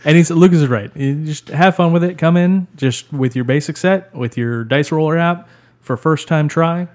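A male co-host with a blunt, laid-back delivery. He gives plain advice to new players in a casual, unhurried chat. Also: fairly high pitch, darkish tone. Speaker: podcast co-host.

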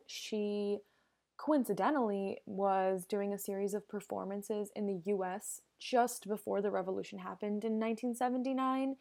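A young woman talks alone into a podcast mic, with a noticeable vocal fry running through her voice. She sounds earnest and opinionated and drifts into side topics before pulling herself back. Her pitch sits right in the middle for a woman. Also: a little mellow. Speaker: podcast host. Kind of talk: casual solo podcast monologue.

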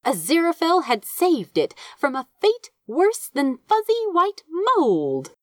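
A woman reads fiction as a narrator, taking her time over each phrase. Her storytelling is highly expressive, with wide pitch movement, and the recording is dry and close. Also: unhurried, no echo.

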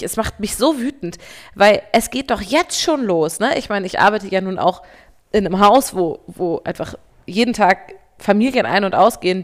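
A woman in her thirties co-hosting a podcast as a parent, talking fast and getting worked up, sometimes turning sarcastic. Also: mid-range voice. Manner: fast, agitated, sarcastic when upset. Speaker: podcast co-host. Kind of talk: casual podcast chat.

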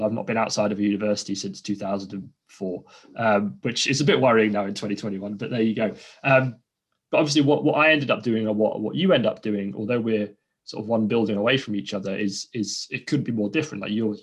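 Male podcast host in his thirties, rambling with many restarts, quick delivery. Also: fairly low pitch, breathy edge. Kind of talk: casual podcast interview.

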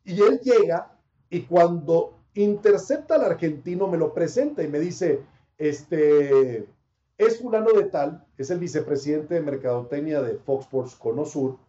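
High-voiced, animated male sports reporter. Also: a little slow. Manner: animated, expansive storyteller. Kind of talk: interview answers, anecdote telling. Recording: dull, roomy sound.

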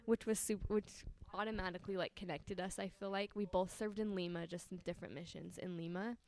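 Brief: woman host, bubbly and chatty, quick laughs, keeps restarting her sentences. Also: fairly low pitch, fairly even intonation, a little mellow. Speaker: podcast host. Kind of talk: casual interview chat. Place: friend's house.

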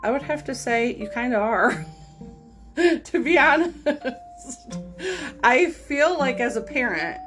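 Woman podcast host, slightly high voice, reads stories cold then chats frankly, faint music bed. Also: expressive, slow, pauses often, a bit bright. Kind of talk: podcast reading of online posts with commentary.

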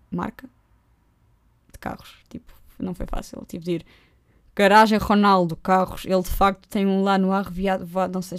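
Joking young Portuguese woman podcaster. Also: on the low side. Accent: European Portuguese. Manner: joking, self-mocking, lively asides. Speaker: podcast host. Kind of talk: casual solo podcast chat. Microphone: close podcast microphone.

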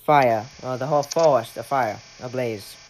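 A man's voice: medium pitch, moderate pace. A young man with a Maine accent hosting a casual chat among friends, rambling and joking and laughing often. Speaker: podcast host. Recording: noisy home recording.

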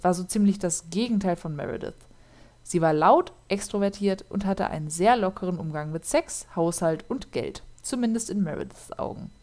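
A woman's voice: fairly low pitch, a little mellow. A woman, co-hosting a casual podcast and narrating a true crime case, chatty with lots of fillers. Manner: chatty, laughs often, many fillers.

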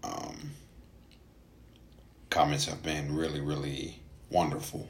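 A man who stutters, speaking casually in a halting personal monologue with frequent gaps and hesitations, as a podcast host. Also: very deep voice, level tone.

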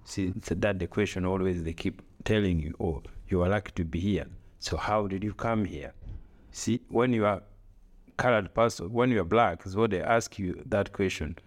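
A middle-aged man from Africa talking in casual conversation, earnest and halting, with grammar and repeated phrases. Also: deep voice. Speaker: podcast co-host, father.

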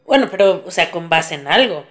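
A woman's voice: fairly low pitch, lively intonation, brisk. A woman co-hosting a podcast, chatting informally and reacting to her partner. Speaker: podcast co-host. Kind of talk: casual chat.

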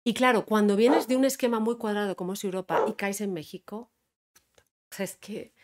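A woman from Spain chatting as an interview guest, telling animated stories and quoting other people. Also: mid-range voice, brisk. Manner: animated storyteller, quotes others, laughs often. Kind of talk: casual interview chat.

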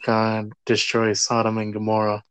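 A well-spoken man talking in a calm, monotone way during casual chat. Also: fairly low pitch, normal speed, clear, resonant tone, no room sound.

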